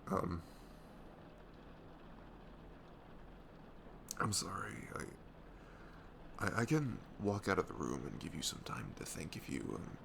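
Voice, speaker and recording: A man playing a tender, nervous best friend in an intimate ASMR roleplay, speaking softly and hesitantly in a low, gravelly voice close to the mic. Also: big pitch swings, normal speed, slight background noise, exaggerated voice. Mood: apologetic, awkward. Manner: hesitant, stammering, soft-spoken.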